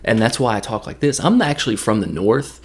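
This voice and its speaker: A man's voice: mid-range voice, expressive. A man with a Tennessee accent giving a loose, joking, rambling recap of a movie. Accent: Tennessee Southern. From Tennessee, USA. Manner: loose, jokey, drifts into quick impressions. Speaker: movie reviewer.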